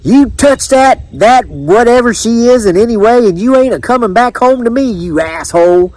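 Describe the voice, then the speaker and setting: A man's voice: high voice, big pitch swings, slow pace, fairly bright tone, no echo, pitched above the performer's own voice, full character voice, poor audio. A man voicing a brash, jealous small-town wife in her early thirties, sassy and drawling, in a storybook narration. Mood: angry, jealous.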